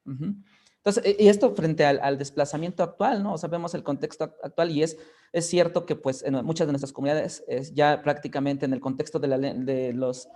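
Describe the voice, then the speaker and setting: A man's voice: on the high side, fairly even intonation, brisk, dark tone. Earnest man speaking on a panel. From Mexico. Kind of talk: panel discussion.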